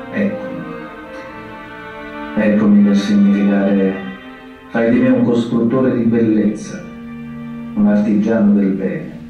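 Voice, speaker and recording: A man's voice: fairly low pitch, natural voice. An actor playing a compassionate, solemn male priest in an Italian radio theatre monologue, grave and preaching in poetic phrases. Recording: dull echoey distant sound, music behind.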